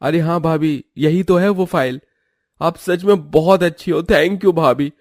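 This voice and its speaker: A man's voice: on the high side, measured pace, dry recording, studio quality. A man playing a polite, flustered young brother-in-law in a cartoon family story, with a pleading manner. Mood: grateful. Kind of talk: Hindi cartoon story acting.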